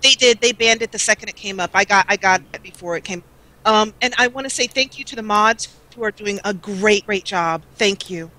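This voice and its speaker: Female, livestream guest, bright forceful voice, medium pitch, casual chat. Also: a little fast, rough recording.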